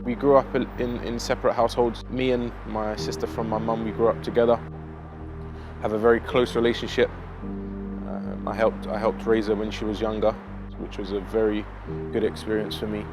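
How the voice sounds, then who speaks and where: A young man with a South East London accent tells his life story in a casual, reflective monologue, with measured and hesitant phrasing. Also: lowish voice, little pitch movement. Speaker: vlogger telling own life story. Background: background music.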